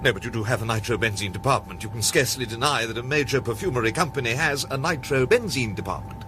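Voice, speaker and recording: An actor with an English accent playing an urbane, self-assured gentleman detective, polished and theatrical in a radio drama. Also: mid-range voice, fast talker, noisy background, lightly acted. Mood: brisk, probing. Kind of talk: British radio drama acting.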